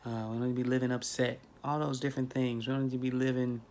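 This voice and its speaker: A man's voice: medium pitch, level tone, fast, a little mellow, a little hiss or hum. A man in his thirties talking casually into a phone camera in a small bedroom, preachy and emphatic, repeating himself for effect. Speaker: spiritual vlogger. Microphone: phone camera at arm's length.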